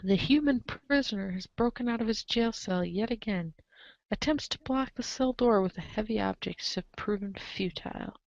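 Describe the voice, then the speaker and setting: A woman's voice: mid-range voice, moderate pace, vocal fry, no echo. Female narrator for a comic read-aloud, wry and theatrical, mid-range voice, slightly muffled dry recording. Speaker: narrator reading a webcomic aloud. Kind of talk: dramatic scripted narration with casual asides.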